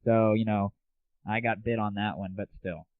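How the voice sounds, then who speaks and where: A man's voice: fairly low pitch, steady tone, normal speed, no room sound. A man hosting a casual, blunt monologue show about gaming, talking informally with some mild swearing. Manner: informal, mildly profane, blunt. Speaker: show host. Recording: tinny narrow-band phone-like audio.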